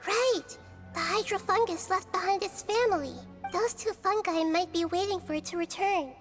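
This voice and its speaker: A little child-like goddess from a video game, gentle and wise. The voice is high and young, with thoughtful, measured phrasing. Music is clearly heard behind it. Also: female voice. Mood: bright, relieved.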